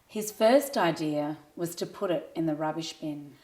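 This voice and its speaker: A female storyteller with a slightly low voice reads a scripted tale for young children. Her delivery is expressive and addressed to little listeners. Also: a little slow, rough recording.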